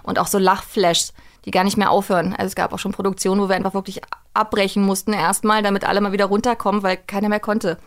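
A woman's voice: mid-range voice, level tone, quick pace. A middle-aged woman, chatting casually and talkatively as a voice actress being interviewed. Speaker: guest, voice actress and dubbing director. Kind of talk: casual interview chat, telling work anecdotes.

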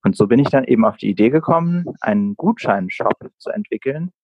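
A man's voice: mid-range voice, animated, a little fast, husky, no echo, highs cut off. A man in his late twenties from Berlin, answering interview questions conversationally as a guest entrepreneur. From Berlin, Germany.

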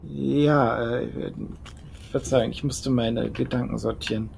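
A man playing a thoughtful, scholarly vampire in an audio drama, speaking in halting, hesitant, formally worded phrases. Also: mid-range voice, fairly even intonation, gravelly, a little mellow, a little hiss or hum. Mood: pensive, hesitant. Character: thoughtful, scholarly male vampire sharing half-remembered knowledge. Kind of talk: audio drama role-play dialogue.

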